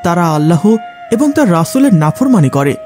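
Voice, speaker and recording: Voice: medium pitch, very animated, normal speed, darkish tone, close to an everyday voice. A performer voicing a righteous young friend who solemnly moralizes in a cartoon. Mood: solemn, preaching. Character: righteous young boys admonishing a wayward friend. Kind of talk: cartoon voice acting, preachy. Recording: music behind the voice. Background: background music.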